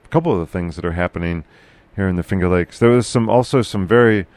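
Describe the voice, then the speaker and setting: A man hosting a casual local podcast chat, with a loud, carrying voice, talking haltingly with many fillers and restarts. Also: low-pitched, no room sound. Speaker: podcast host.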